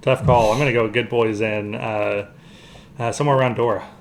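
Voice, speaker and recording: A man co-hosting a show, casually discussing movies in a halting, hedging way as he explains things. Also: fairly low pitch, fairly even intonation, a little hiss or hum. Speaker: podcast co-host.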